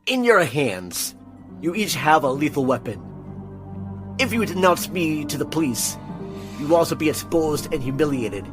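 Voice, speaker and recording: A man playing a scheming blackmailer who narrates a murder mystery audio drama in a deliberate, dramatic manner. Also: fairly high pitch, very animated. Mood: menacing, persuasive. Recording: music bed under dialogue.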